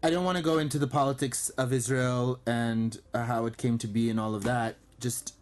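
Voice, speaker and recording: A man whose first language is Armenian, a guest on a talk show, explaining his diplomatic work in a fluent, steady manner with frequent ums and uhs. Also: mid-range voice, a little fast, soft background music. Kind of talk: casual interview talk.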